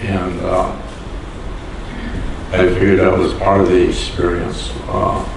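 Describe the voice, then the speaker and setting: Gravelly low-voiced older man reminiscing. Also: monotone, takes it slowly. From Door County, Wisconsin. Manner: plainspoken, chuckles at his own stories. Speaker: interview guest. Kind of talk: unhurried storytelling. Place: community hall with audience. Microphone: far from the mic. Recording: echoey hall from far off, noisy.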